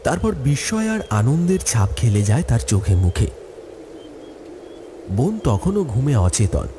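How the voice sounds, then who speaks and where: A man's voice: medium pitch, big pitch swings, unhurried, noisy background. A man giving a scripted, dramatic narration of a horror story.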